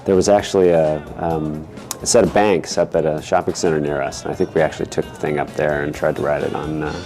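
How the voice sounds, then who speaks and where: A man reminiscing casually in an interview, with halting, hesitant phrasing. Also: extremely low pitch, level tone, a little mellow. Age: 40s. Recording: music bed under the voice. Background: instrumental music.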